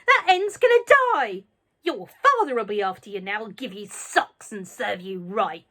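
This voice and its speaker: A woman voicing a cranky, loud old grandmother in a storybook reading, shrill and scolding. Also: expressive, moderate pace. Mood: shouting.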